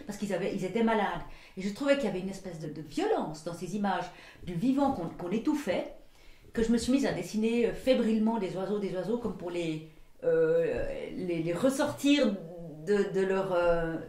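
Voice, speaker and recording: Woman in her 60s, artist talking about her work, slow searching phrases that trail off, middle voice, a bit of room echo. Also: medium pitch. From Belgium. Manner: thoughtful, searching for words, often trailing off softly. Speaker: visual artist and printmaker. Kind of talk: reflective documentary portrait interview.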